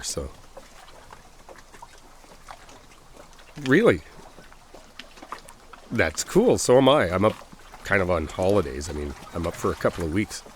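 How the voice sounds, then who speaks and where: Deep-voiced bashful friendly guy, acted by a man. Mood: friendly, surprised. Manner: hesitant, apologetic, chuckling, conversational. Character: friendly, bashful male stranger at a lakeside trailer park. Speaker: audio roleplay performer. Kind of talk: boyfriend ASMR roleplay, casual chat. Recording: outdoor water ambience noise.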